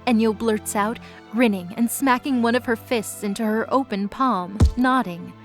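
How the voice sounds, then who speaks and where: A woman serves as narrator for a dramatic mythology audio story. Her voice is fairly high, and she reads from a script over a faint bed of music. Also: normal speed.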